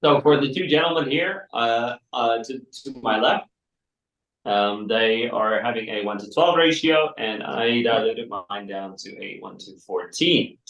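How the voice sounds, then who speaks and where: A man co-hosting a coffee podcast, talking in a measured way with fillers. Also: medium pitch, fairly bright tone, lo-fi sound. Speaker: podcast co-host. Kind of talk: casual interview talk. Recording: echoey room, from a distance.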